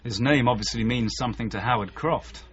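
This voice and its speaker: Man acting a British police sergeant, earnest, measured, medium pitch, radio drama, faint noise, muffled sound. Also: close to an everyday voice. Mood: businesslike, reporting. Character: earnest, discouraged young detective sergeant. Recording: dull, noisy radio broadcast.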